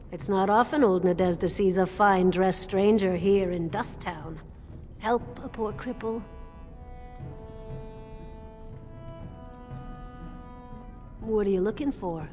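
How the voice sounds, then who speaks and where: Old streetwise beggar female actor, medium pitch, sly folksy wheedling, game dialogue, long echo, faint music. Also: a little mellow, close to an everyday voice. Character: wily old crippled beggar woman, streetwise. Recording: reverberant space, faint music.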